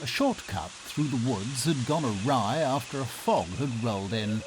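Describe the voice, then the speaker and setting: A man plays a pompous Victorian gentleman in old-style radio theatre. His mid-pitched delivery is melodramatic, well-mannered and very lively, with clear background ambience noise. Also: normal speed, natural voice. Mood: anxious, dramatic. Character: lost Victorian nobleman, melodramatic, polite and excitable. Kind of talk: melodramatic first-person radio theatre narration and acting. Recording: noisy ambience behind the voice.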